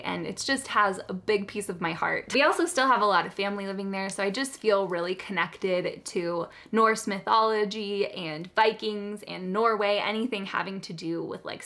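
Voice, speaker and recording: A woman's voice: medium pitch, gravelly, bright, forceful tone. A woman hosting a book video, chatting casually to viewers in an enthusiastic, giggly, gushing way. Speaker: host of a book haul video.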